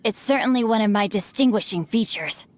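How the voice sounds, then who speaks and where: A woman's voice: a little high, brisk, noisy background, close to an everyday voice. Woman playing a witty, bookish young nobleman. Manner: formal, archaic wording, dry teasing wit. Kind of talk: game cutscene voice acting.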